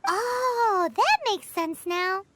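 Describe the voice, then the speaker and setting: A tiny chirpy fairy companion. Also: female voice, very high-pitched, extremely slow, very noisy, exaggerated voice. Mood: curious. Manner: chirpy, speaks of herself in third person. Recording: game audio with clear music.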